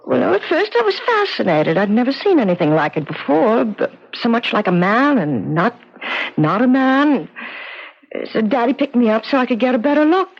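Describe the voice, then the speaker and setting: Anxious, expressive young American woman. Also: a little high, moderate pace. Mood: nervous recollection. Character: anxious, determined young woman, grieving sister. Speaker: actress in a radio drama. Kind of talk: 1970s American radio drama acting. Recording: muffled 1970s radio recording.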